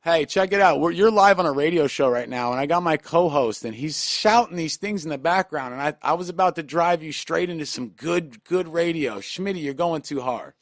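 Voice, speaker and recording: A man's voice: a little high, lively intonation, normal speed, few pauses, no room sound. A man hosting a radio show, insistently explaining himself in casual studio banter. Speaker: radio host.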